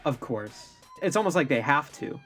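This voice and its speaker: A man's voice: on the high side, lively intonation, moderate pace, a bit bright. A man in his thirties co-hosting a film review, giving emphatic opinions as commentary. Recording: music bed under voice.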